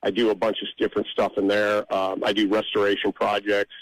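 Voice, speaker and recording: A middle-aged man chatting casually as an interview guest, with a halting, rambling way of talking. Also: on the low side, completely flat delivery, no echo, poor audio. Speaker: interview guest, amateur songwriter.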